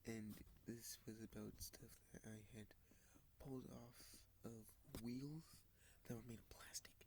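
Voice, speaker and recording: A man with a congested, sniffling voice speaks very slowly, in halting bits, often slipping into a soft near-whisper. He sounds like a casual vlogger talking into a close mic. There is a faint hiss of background noise behind him. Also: mid-range voice, steady tone. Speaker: model train channel host. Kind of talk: casual screen-recorded vlog.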